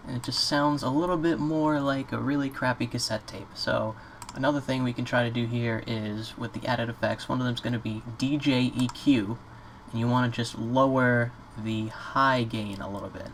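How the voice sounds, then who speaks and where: A man talks through a software tutorial at his computer in a mid-pitched voice. His delivery is casual and unscripted, feeling out the explanation as he goes. There is clear noise behind him, with some keyboard clicks. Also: steady tone, normal speed. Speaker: tutorial presenter. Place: indoors at a computer.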